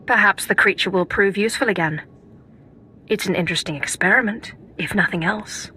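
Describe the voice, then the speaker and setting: An actress playing an ambitious Sith acolyte with a cool, calculating delivery. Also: female voice, medium pitch, a little fast, pushed and bright, a little hiss or hum, lightly acted, poor audio. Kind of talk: video game voice acting.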